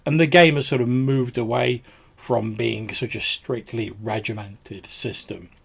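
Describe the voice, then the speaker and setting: A man's voice: mid-range voice, steady tone, unhurried, gravelly, slightly rough. A man hosting a tabletop gaming podcast, answering listener voicemails in a rambling, casual way full of "sort of" and "like". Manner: rambling, many fillers like "sort of". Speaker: podcast host.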